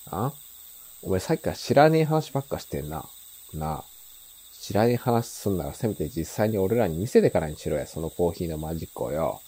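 An actor playing a scornful, aggressive teenage delinquent in a Japanese radio drama, needling in rough slang with a raspy voice. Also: male voice, sits low, big pitch swings, fairly quick, warm tone, exaggerated voice.

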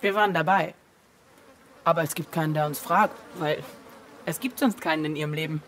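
A woman's voice: sits low, a little fast, a little hiss or hum, natural voice. Woman playing a wistful, detached digital companion. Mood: detached, reflective. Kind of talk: German radio play acting.

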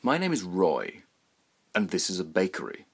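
Man as a calm, plaintive baker, low voice. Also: moderate pace, vocal fry, breathy edge, full character voice. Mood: calm protest. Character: aggrieved, polite baker keeping calm, plaintive.

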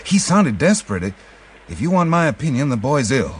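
An actor playing an anxious, ailing father in a radio drama, speaking in a halting, worried way. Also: mid-range voice, sing-song, a little hiss or hum, lightly acted. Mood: anxious, troubled. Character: anxious, ailing father of a runaway grown son.

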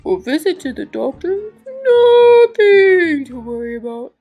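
A woman voicing a caring, cheerful male octopus in a storybook, with emphatic, drawn-out delivery. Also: very high-pitched, big pitch swings, highs cut off, faint music behind, voice pushed up, heavily acted. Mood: encouraging.

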